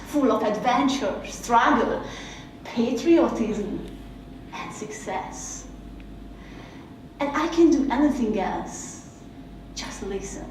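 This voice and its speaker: A woman with a Hungarian accent, a native Hungarian speaker, giving a reflective spoken essay in a slow, measured, heartfelt way. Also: fairly high pitch, a little mellow, slight background noise. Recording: very echoey room, mic at a distance.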